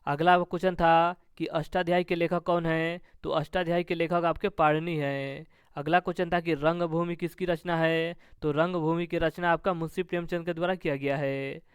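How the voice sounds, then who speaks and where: A man's voice: on the high side, level tone, fairly quick, few pauses, smooth, no room sound. A man teaching exam review in a repetitive, formulaic question-and-answer pattern.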